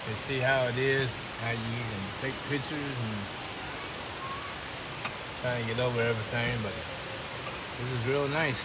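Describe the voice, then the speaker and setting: A man's voice: medium pitch, fairly even intonation, unhurried, long pauses. Man filming a tour over waterfall hiss. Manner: easygoing, keeps exclaiming wow. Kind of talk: casual vlog commentary. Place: outdoor scenic ridge, then inside a cave. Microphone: handheld camera. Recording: loud hiss and waterfall noise.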